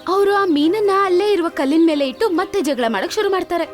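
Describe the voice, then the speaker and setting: High-pitched woman storyteller over music. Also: lively intonation, fairly quick. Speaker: narrator. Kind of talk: scripted children's story narration.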